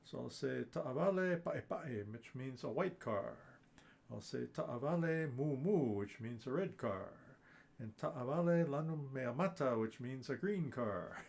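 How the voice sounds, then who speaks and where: Slow, gravelly man teaching a class. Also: medium pitch, lively intonation, a little mellow. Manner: friendly, teacherly, chuckles often, many fillers. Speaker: ukulele class host. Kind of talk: live online class talk.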